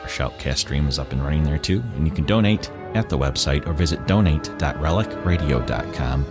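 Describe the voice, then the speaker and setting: A man's voice: very deep voice, lively intonation, fairly quick. A man hosting a podcast, reading a scripted outro in plain speech. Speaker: podcast host. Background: music.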